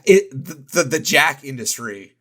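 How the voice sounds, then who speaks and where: A man co-hosting a podcast, dropping quick, giggly asides about a movie. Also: mid-range voice, very animated, a bit bright. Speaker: podcast co-host. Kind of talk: casual movie chat.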